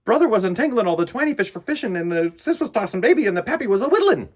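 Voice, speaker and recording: Male kids' storytime host, lively swooping pitch, husky tone, playful and direct with young listeners. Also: rapid-fire. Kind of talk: chatty read-aloud for children.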